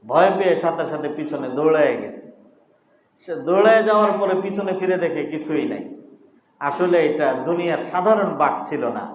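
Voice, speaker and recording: A male preacher delivers a religious sermon in a high voice. He is emphatic and instructive, pausing for weight and putting questions to his listeners. The sound is muffled and echoes heavily around a hall. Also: a little hiss or hum. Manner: emphatic, didactic, deliberate pauses with rhetorical questions. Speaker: preacher, Islamic scholar. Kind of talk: Bengali waz sermon to a congregation.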